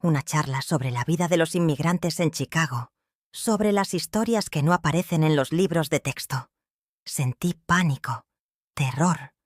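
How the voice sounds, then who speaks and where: An older woman with a Mexican accent narrating her own life story in a slow, heartfelt, reflective way. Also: low-pitched, dry recording, clean studio sound. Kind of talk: first-person storytelling narration.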